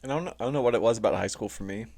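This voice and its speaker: A man's voice: lowish voice, racing, creaky, darkish tone. A young man co-hosting a podcast in casual chat, with a laid-back, slangy way of talking and some swearing. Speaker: podcast co-host.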